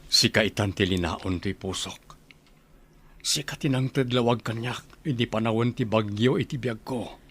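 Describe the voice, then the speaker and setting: A man's voice: fairly low pitch, normal speed, fairly bright tone, slightly rough. A man with an Ilocano Filipino accent playing a lovelorn, devoted husband in a radio drama. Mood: tender, pained. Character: lovelorn husband, devoted to his new love, resisting his ex.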